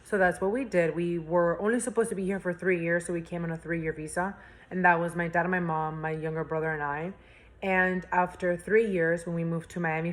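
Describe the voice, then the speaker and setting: A young woman from Spain, a university student, chatting as an interview guest with lots of fillers and restarts. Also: on the low side. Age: young adult. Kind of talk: casual interview chat.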